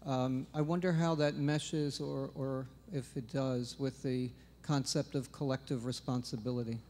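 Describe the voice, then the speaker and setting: A man's voice: medium pitch, fairly even intonation, a little slow, a little mellow, in a large hall, a little hiss or hum. A man in the audience asking a question, hesitant with many ums, lightly joking at first. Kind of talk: question from the floor.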